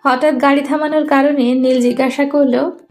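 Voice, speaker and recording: A woman's voice: high-pitched, steady tone, normal speed, a little mellow, soft background music. A woman reading scripted story narration as the narrator.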